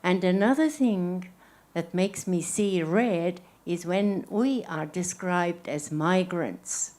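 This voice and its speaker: Loud forthright woman commenting. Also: lowish voice, slow. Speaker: audience member commenting.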